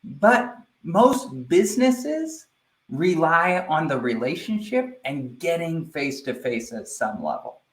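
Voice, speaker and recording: A man giving a live online sales training, energetic and speaking directly to viewers, often checking in with "right?". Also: fairly high pitch, slow, reverberant.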